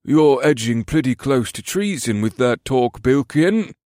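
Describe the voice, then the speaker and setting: A stern elf soldier, voiced by a male narrator speaks up high and hard over the narration pitch. He warns and scoffs with scorn, as in a fantasy storybook, in a dry close recording. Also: lively intonation, unhurried, darkish tone, no echo, lightly acted. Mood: stern warning. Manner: warning, scoffing.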